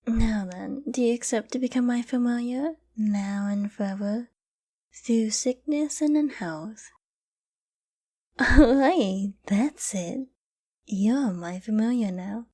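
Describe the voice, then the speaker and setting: A woman voicing a possessive, lovestruck, dominant fox-girl mage in an intimate ASMR roleplay, speaking softly and often dropping to a whisper. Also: fairly high pitch. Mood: calm, commanding, then pleased, tender. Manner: soft, slow, breathy, often dropping to a whisper.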